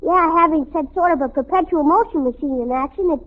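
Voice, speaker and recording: A woman's voice: high-pitched, fast, dull audio, natural voice. A woman playing a brainy, droll youngster in 1940s radio comedy, wry and mock-intellectual. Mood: scheming curious. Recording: noisy, thin old radio recording.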